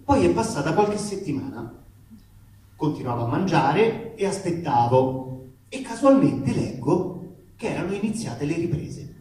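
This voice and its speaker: A man's voice: on the high side, very animated, a little slow, dark tone. A man gives a comic theatrical reading of a letter, emphatic, aggrieved and ironic, in colloquial language with swearing. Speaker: actor reading aloud. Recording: echoey room, distant mic, faint noise.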